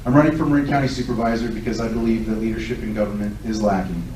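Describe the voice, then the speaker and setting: A man in his forties speaks fast and earnestly, with a somewhat low voice. He is picked up from far off by a device in an echoey hall, with faint noise in the background. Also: steady tone, a little mellow. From Southern California. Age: 40s. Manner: fast, earnest, self-assured. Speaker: political candidate. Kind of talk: candidate forum speech and answers. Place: large echoey hall at a public event. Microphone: recorded from a distance by a tablet.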